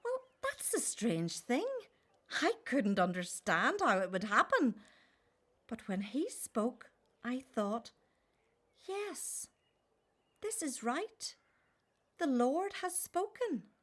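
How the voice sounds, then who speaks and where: A gentle young woman of faith in a radio play. Her voice is high and lively in pitch, and she speaks very slowly, with earnest wonder. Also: exaggerated voice. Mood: wondering, reverent. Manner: earnest, wondering, measured storytelling.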